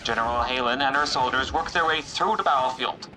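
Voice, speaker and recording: A man's voice: mid-range voice, brisk, sharp tone, slight background noise. A man narrating a sci-fi adventure drama in a scripted, dramatic style.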